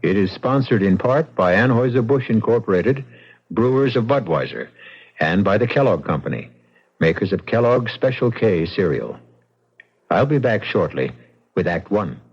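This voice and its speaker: A man's voice: fairly low pitch, lively intonation, moderate pace, breathy edge. A man hosting and narrating a radio mystery show in a dramatic, ominous manner. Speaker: host and narrator. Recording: dull lo-fi radio broadcast with music.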